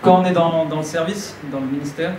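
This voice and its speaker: A man's voice: on the high side, fairly even intonation, brisk, darkish tone. A man in his late thirties preaching a church sermon, speaking didactically and repeating phrases for emphasis. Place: church hall, preaching to a congregation. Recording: roomy church, distant mic, faint noise.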